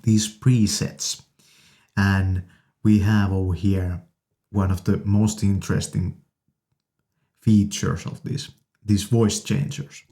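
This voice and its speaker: A man gives an informal gear demonstration in a lowish voice. His delivery is slow and halting, arriving in short pieces as he presents each feature. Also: little pitch movement, dark tone. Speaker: presenter reviewing an audio interface.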